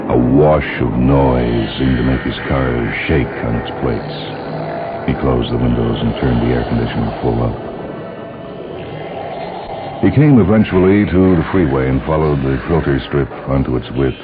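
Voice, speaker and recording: A man's voice: rumbling low, phone-quality sound. A man reading a science fiction story as dramatic scripted narration. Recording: band-limited radio-like sound with music behind. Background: music.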